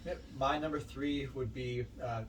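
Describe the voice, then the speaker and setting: A man with a medium-pitched voice chats informally as a podcast co-host, in short, emphatic phrases. He is a little far from the mic, with faint noise in the recording. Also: fairly even intonation, moderate pace. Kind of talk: casual film ranking chat.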